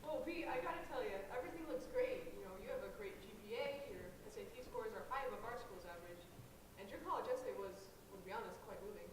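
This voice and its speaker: A woman's voice: a little high, lively intonation, fast talker, close to an everyday voice. A young woman playing a friendly, flustered admissions interviewer in a student stage play, hesitant and appeasing. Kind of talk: student one-act stage play acting. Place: theater, recorded from audience distance. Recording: echoey room, distant noisy mic.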